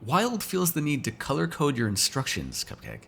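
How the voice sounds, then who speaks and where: A man's voice: mid-range voice, wildly swooping pitch, moderate pace, gravelly, a bit bright, slight background noise, full character voice. A man playing a sardonic, teasing, foul-mouthed vampire in an ASMR roleplay, talking casually to one listener. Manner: casual, teasing, sarcastic, swears freely.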